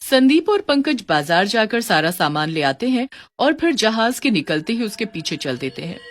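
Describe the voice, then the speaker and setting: A woman's voice: medium pitch, big pitch swings, normal speed, faint music behind. A woman reading scripted narration for a cartoon story.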